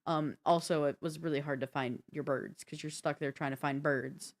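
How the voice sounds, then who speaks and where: A woman co-hosting a show in casual chat, with a halting manner full of "like". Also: low-pitched, steady tone. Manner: halting, uses "like" often. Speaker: show co-host.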